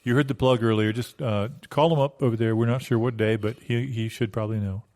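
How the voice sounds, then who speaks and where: A man's voice: medium pitch, steady tone, a little fast, mellow, natural voice. A man improvising a hesitant small-town radio host who rambles through vague, polite announcements. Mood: vague, helpful. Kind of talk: improvised mock radio chat.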